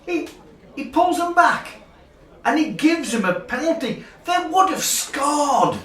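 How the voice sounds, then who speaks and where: Man acting aggrieved excitable rugby fan, very high sharp voice, distant echoey recording, radio drama. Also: slow, full character voice. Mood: outraged excitement. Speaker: actor in a radio play. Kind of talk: dramatic radio play retelling.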